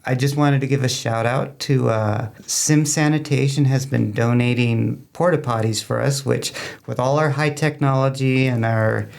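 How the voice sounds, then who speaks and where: Talkative American man with a creaky voice. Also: medium pitch, steady tone, moderate pace, a little hiss or hum. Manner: talkative, joking, self-teasing. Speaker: amateur radio club public information officer, guest. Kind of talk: casual chat.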